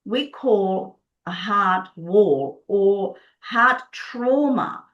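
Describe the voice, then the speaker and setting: Woman, middle-aged, from Prague, Czech native speaker, mid-pitched, earnest interview guest. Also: expressive, drawn-out words, fairly bright tone, muffled recording. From Prague, Czech Republic. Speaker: Body Code practitioner, interview guest. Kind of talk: conversational interview, explaining.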